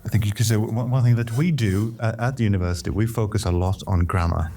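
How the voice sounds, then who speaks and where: Low-voiced man explaining language learning. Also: fairly even intonation, mellow. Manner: measured, explanatory, with restarts. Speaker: Swedish language teacher. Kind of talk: podcast conversation.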